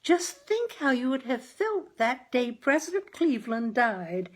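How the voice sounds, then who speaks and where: A woman voicing a chatty, practical and earnest New England mother with folksy turns of phrase and a husky tone, in a story reading. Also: high-pitched, very animated, unhurried, voice pushed up, heavily acted. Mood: earnest insistence. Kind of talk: audiobook character dialogue.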